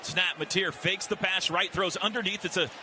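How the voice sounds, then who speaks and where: A man's voice: sits high, steady tone, normal speed, noisy background. An American man calling football play-by-play on the radio in clipped, punchy, emphatic phrases. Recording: radio broadcast under loud music. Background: loud music.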